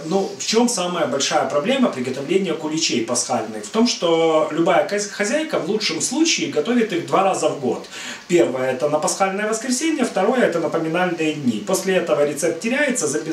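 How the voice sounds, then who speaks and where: A man's voice: fairly high pitch, recorded from a distance, slight background noise. Middle-aged man talking in an echoey kitchen. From Ukraine. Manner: rambling, many "uh" fillers, friendly and explanatory. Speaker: cooking channel host. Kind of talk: casual cooking talk to camera. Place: home kitchen indoors. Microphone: far camera.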